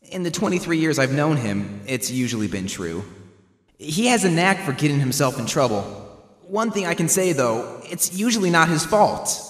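An actor playing a nervous, earnest young defense attorney in a fan-dubbed courtroom game, with a hesitant manner. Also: male voice, on the high side, sing-song, normal speed, a bit bright. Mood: earnest narrating. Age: young adult. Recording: echoey reverberant room.

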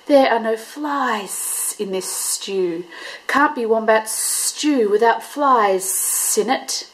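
A woman voicing a smug old male lizard who hisses slowly. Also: fairly high pitch, poor audio. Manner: slow, hissing.

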